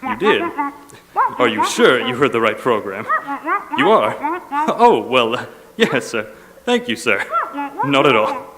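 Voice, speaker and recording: A man's voice: mid-range voice, very animated, measured pace, long pauses, fairly bright tone. A man playing an exasperated, theatrical, blustering radio show producer in a stage comedy. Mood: flustered. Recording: echoey hall, noisy live recording.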